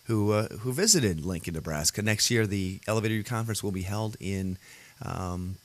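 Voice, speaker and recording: Gravelly low-voiced man hosting his show. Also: moderate pace. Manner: rambling, many fillers and restarts. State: voice worn and rough today. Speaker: podcast host. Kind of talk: casual solo show chat. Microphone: computer audio setup.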